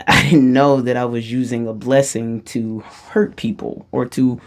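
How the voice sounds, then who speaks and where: Low-voiced woman telling a rambling story. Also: steady tone, slow pace, darkish tone. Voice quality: low-register voice. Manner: rambling, self-interrupting, laughs often mid-sentence. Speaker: vlogger. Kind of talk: casual personal storytelling.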